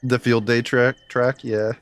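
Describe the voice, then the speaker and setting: A man with a deep voice streaming a game, chatting candidly and easily with viewers while he plays, close to his mic. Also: flat delivery, a little slow, slight background noise. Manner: candid, laid-back, talks to chat between game moments. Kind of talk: casual live-stream chat while playing. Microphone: close desk mic. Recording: game music audible under the voice. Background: game music.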